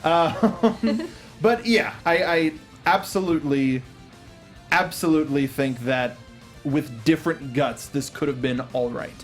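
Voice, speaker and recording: A man's voice: fairly high pitch, takes it slowly, faint music behind. A man hosting a film review show, talking casually and steering the panel discussion.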